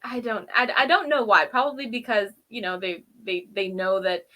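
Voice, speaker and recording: A woman co-hosting a review show, casually recapping and commenting in an informal, animated way. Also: mid-range voice, moderate pace. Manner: informal, animated retelling with acted-out quotes. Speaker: co-host of a TV episode review show.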